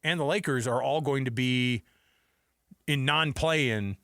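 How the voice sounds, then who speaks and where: An American man delivers opinionated sports commentary as a radio host. His voice sits at a medium pitch, with an emphatic, conversational delivery. The studio sound is dry and close. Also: a little slow, pushed and bright, dry recording.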